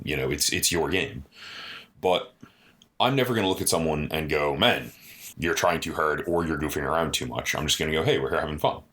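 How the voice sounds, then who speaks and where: A man with a deep voice co-hosting a podcast in a studio, talking earnestly in casual chat and often adding "you know" and "right?". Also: fairly bright tone. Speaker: podcast co-host.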